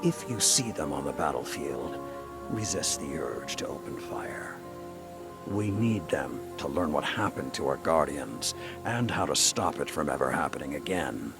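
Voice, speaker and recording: A man playing a stern, battle-hardened older warlord in a video game, with a grave, commanding, deliberate delivery. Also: a bass voice, animated, loud music behind the voice, exaggerated voice. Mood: stern, resolute. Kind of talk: dramatic video game character acting.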